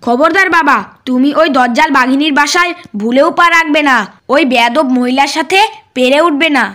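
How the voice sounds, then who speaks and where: Woman as little cartoon monkey, high voice, clever, earnest, crafty, urging manner. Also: brisk, bright, forceful tone. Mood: worried warning.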